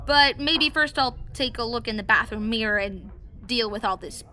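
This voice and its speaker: A woman playing giggly flustered teen Janelle. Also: fairly high pitch. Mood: nervous. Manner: giggly, bright and quick to fluster. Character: teenage girl Janelle, playful and flustered. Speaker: character in audio drama. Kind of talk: audio drama acting.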